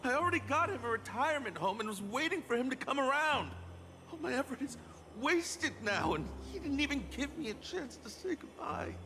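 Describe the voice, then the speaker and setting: A man voicing a brash son in a video game who gruffly hides his grief, blunt, grumbling and emotional. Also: very high-pitched, sing-song, a little fast, bright, forceful tone, a little hiss or hum, full character voice. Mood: grieving. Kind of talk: video game story acting.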